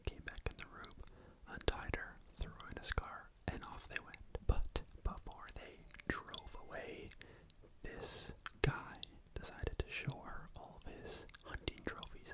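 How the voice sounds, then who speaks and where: An ASMR host whispering a true crime story to the microphone in a casual, rambling way, often saying "like" and "you know.". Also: low-quality recording. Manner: soft unvoiced whisper throughout.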